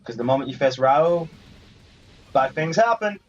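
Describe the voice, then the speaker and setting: A man's voice: mid-range voice, expressive, normal speed. A man casually commentating on his own video game playthrough as the host, in a playfully boastful way. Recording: muffled, distant mic over game music. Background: game music.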